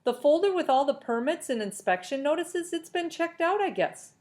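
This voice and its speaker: A woman's voice: high voice, sing-song, normal speed, darkish tone, voice pushed up. Woman playing a smug, falsely polite male clerk. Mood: dismissive politeness. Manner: condescending, artificially polite.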